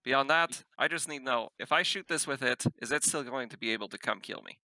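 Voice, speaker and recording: A man's voice: medium pitch, fast, sharp tone, dry recording, low-quality recording. A man voicing a blunt, pushy female agent in a tabletop game, casual and insistent.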